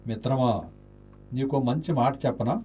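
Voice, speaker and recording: An actor playing an honourable, impoverished, melancholy gentleman in an Indian radio play, speaking in a declamatory, dramatic way. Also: mid-range voice, fairly even intonation, moderate pace, slight background noise, close to an everyday voice. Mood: gently resigned. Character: Charudatta, noble impoverished gentleman, honourable, self-pitying, melancholy.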